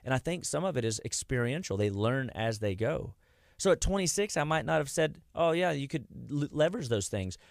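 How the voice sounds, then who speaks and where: Gravelly-voiced man with a folksy drawl. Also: medium pitch, expressive, brisk, no room sound, clean studio sound. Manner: rambling, folksy, loses his train of thought. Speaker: wellness clinic host. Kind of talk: casual health talk.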